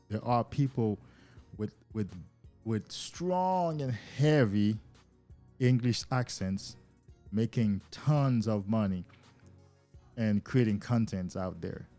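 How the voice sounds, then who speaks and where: Man, low warm voice, casual live-stream host, enthusiastic, repeats himself, faint music behind. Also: animated, takes it slowly, long pauses. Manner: loose and enthusiastic, stumbling over repeated words. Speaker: self-improvement coach hosting a live stream.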